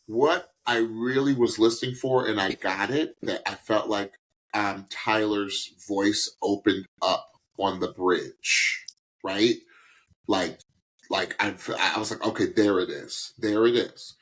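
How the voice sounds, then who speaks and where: Low-voiced middle-aged man chatting. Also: fairly bright tone, poor audio. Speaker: podcast co-host reviewing a singing show. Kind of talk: casual reaction commentary.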